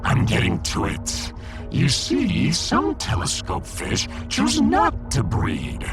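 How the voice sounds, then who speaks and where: A man's voice: mid-range voice, wildly swooping pitch, slow pace, music in the background, heavily acted. A man voicing a pompous, gloomy little alien fish emperor in a sci-fi audiodrama, theatrical, long-winded and imperious. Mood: mournful, theatrical. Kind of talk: sci-fi audiodrama character acting.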